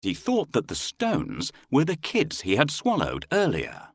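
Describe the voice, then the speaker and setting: A man's voice: on the high side, wildly swooping pitch, measured pace, dry recording, studio quality. A man narrating a children's fairy tale as a scripted storyteller.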